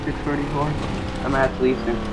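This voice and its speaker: A young man in a gaming video, switching between casual chat and halting reading aloud, stumbling over words. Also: mid-range voice, level tone, heavy background noise. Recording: music playing over the voice.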